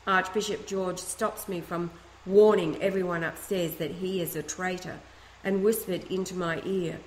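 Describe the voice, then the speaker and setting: A woman reads a first-person letter aloud, her voice sitting a little low. She keeps a steady, earnest pace with the odd restart. The recording rings with room echo over a faint ambient hum. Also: moderate pace. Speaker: reader of a letter.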